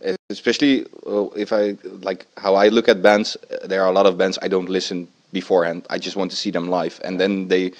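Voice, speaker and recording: A man's voice: sits low, little pitch movement, fairly quick, hardly any pauses, rough recording. A man, a band member, giving earnest opinions in a backstage interview. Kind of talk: casual interview answers. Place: festival backstage room, indoors.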